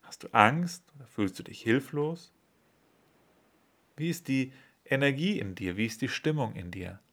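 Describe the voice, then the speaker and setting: A man leading a guided body-awareness meditation in a slow, calm, soothing way. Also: mid-range voice.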